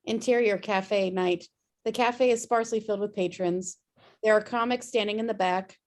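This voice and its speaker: A woman's voice: medium pitch, fairly even intonation, moderate pace, darkish tone. A woman reading scripted stage directions as narrator of a table read over a video call.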